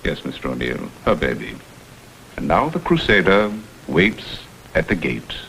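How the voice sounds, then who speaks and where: An actor playing a smooth, insinuating blackmailer who poses as a humble go-between, with florid, theatrical phrasing, in a vintage crime drama. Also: male voice, extremely low pitch, huge pitch swings, measured pace, a bit bright, heavily acted, low-quality recording. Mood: oily, pleading. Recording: vintage TV soundtrack, faint noise.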